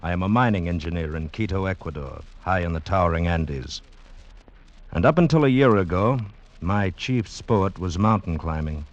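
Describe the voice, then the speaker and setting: An actor playing a haunted mining engineer and former climber in a formal, dramatic old radio drama style. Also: male voice, sits low, expressive, normal speed, highs cut off. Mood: grave, haunted. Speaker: narrator and character in radio drama. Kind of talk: 1940s radio acting, dramatic first-person narration. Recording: vintage radio recording with music.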